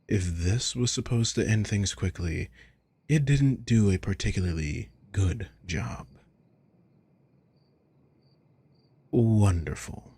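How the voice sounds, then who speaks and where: A man playing a sadistic villain in an ASMR roleplay, coolly menacing and mockingly caring, speaking in a breathy, deliberate, taunting way. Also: lowish voice, expressive, warm tone, dry recording, full character voice. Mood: disappointed, taunting. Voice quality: breathy, husky. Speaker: audio roleplay voice actor.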